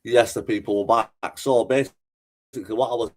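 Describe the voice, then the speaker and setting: A man's voice: medium pitch, steady tone, a little fast, darkish tone. Rambling, chatty man on a livestream. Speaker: streamer and host. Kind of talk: casual livestream commentary reading viewer comments.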